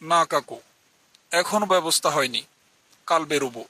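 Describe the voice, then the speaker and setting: A man voicing a polite young villager who tells a scripted ghost story in a measured, earnest way. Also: fairly high pitch, bright, forceful tone. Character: polite young village man from a poor family recounting his ghost encounter. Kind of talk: scripted horror story narration with acted dialogue. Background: music.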